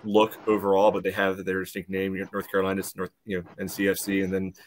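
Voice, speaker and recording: An American man giving his view casually on a soccer podcast, measured and peppering it with small conversational hedges. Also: deep voice, completely flat delivery, a little hiss or hum. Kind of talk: casual sports podcast discussion.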